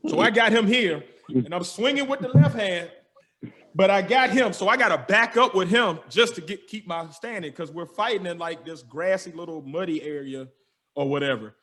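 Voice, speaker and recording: A young man, a podcast host telling a story with lively energy, slangy and acting out the dialogue. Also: sits high, moderate pace. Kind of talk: animated storytelling.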